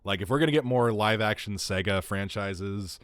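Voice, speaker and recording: A man's voice: on the low side, normal speed, fairly bright tone, no echo, clean studio sound. A man chatting casually as he streams a video game, talking in a rambling, conversational way. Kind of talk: casual livestream gameplay chat. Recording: game music behind the voice. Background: video game music.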